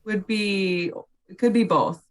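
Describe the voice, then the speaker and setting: A woman's voice: medium pitch, takes it slowly. A woman facilitating an informal online meeting, giving short, appreciative responses.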